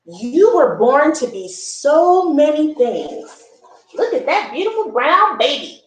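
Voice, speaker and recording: A woman hosting a children's story time, reading a picture book aloud with expressive, encouraging asides and simple explanations. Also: high-pitched, slow pace, echoey room, poor audio.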